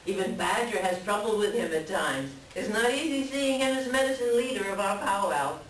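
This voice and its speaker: A woman's voice: medium pitch, expressive, moderate pace, close to an everyday voice. A woman voicing an indignant, gossipy bird in a readers theater, incredulous and blunt. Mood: scornful amusement. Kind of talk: readers theater stage reading. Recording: echoey library room, distant, noisy.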